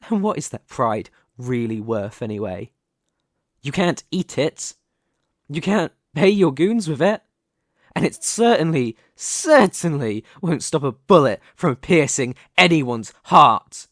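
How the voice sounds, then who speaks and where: A man playing a commanding, possessive mafia boss who is devoted to his lover, in an ASMR roleplay, with a measured, persuasive delivery. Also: on the low side, sing-song, no room sound, studio quality. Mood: cool menace.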